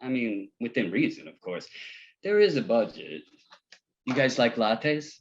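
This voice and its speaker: A man's voice: mid-range voice, big pitch swings, measured pace, lo-fi sound, slight background noise. An actor at a table read playing a breezy, chummy male movie director, slangy and eager to seem cool. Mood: chatty, eager.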